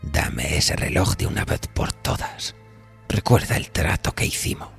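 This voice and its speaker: A man's voice: a bass voice, animated, measured pace, vocal fry, fairly bright tone, heavily acted. A man voicing a sinister, menacing devil who works as a train conductor in a horror audio drama. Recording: faint music behind the voice.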